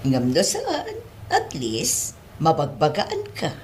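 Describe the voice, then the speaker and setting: A motherly madam acted by a woman in an Ilocano radio-style drama. Her voice is low but very melodic, gently probing, with noticeable ambient noise around it. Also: wildly swooping pitch, slow pace, heavily acted. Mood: concerned. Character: concerned, motherly female superior addressed as ma'am. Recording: clear background ambience noise.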